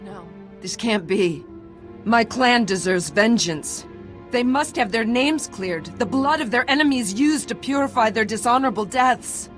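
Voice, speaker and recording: An actress voicing a proud, vengeful clan warrior in a video game, speaking in a formal, solemn and intense way. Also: female voice, a little high, measured pace, soft background music, lightly acted. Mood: anguished, demanding. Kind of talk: dramatic video game acting.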